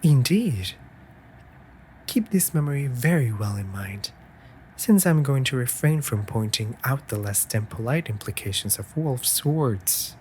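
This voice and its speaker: A man's voice: mid-range voice, animated, unhurried, lightly acted. Guy voicing a fussy raven familiar, very swoopy delivery, caring n teasing, audio roleplay, some noise behind. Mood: firm, teasing. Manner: articulate, gently scolding, formal phrasing. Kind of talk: scripted audio roleplay, comforting.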